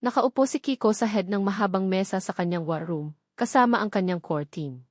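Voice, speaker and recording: A woman's voice: mid-range voice, brisk, darkish tone, no room sound. Filipino woman narrator, dry close sound. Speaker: story narrator. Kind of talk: dramatic scripted narration of a Tagalog love story.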